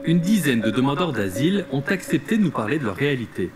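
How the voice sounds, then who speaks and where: A man's voice: medium pitch, very animated, quick pace, over loud music. A man reading scripted narration for an investigative television news report.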